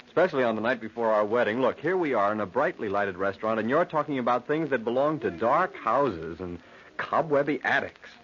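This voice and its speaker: An actor playing an earnest young groom-to-be in an old-time radio drama, soothing and romantic in a melodramatic manner. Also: male voice, medium pitch, expressive, a little fast, a bit bright, natural voice. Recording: muffled, noisy old radio recording.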